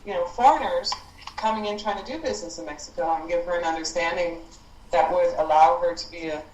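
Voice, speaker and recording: A woman's voice: fairly low pitch, fast talker, darkish tone, slight background noise. Woman instructor on a roomy conference call. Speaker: programme leader and instructor. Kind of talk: informal conference-call discussion.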